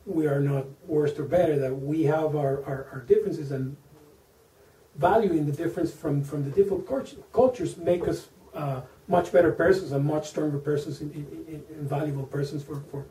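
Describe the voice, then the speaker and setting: A Venezuelan-accented man whose first language is Spanish answers an interview in a very dark, mellow voice. He hesitates often, repeating words and filling the gaps. He sits some way from the mic, and the room echoes. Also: on the high side, moderate pace, recorded from a distance. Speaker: interview guest, engineer.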